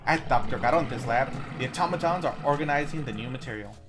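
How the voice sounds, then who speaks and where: A man's voice: medium pitch, fast, music in the background. A man hosting a film review, reading a scripted plot recap and adding wry commentary of his own. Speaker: film review show host.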